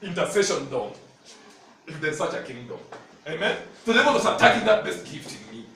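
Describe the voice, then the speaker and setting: Kenyan man preaching, high voice, animated and laughing, booming echo, distant mic. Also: brisk, creaky. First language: Luhya. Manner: animated storytelling, laughing often. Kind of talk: sermon. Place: church hall. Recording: very echoey hall, from far off, noisy.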